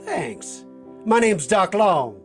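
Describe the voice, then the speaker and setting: An actor playing a cocky, needling adventurer with a folksy drawl in an old-time radio drama. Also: male voice, sits high, very animated, slow pace, soft background music, full character voice. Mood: folksy, sly. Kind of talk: 1940s radio acting.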